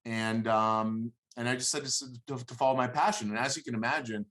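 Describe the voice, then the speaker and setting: A man in his thirties whose first language is Hebrew, answering interview questions in a halting, conversational way, repeating words as he thinks aloud. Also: mid-range voice, fairly even intonation, fairly bright tone. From born in Israel, lived there until seven. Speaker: interview guest, former college wrestler.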